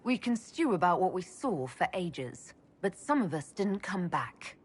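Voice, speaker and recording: A woman's voice: lowish voice, sing-song, a little slow, breathy edge, exaggerated voice. A woman playing a composed, diplomatic leader in a video game, articulate and reasonable. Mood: reflective. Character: composed female leader mage, diplomatic, steady.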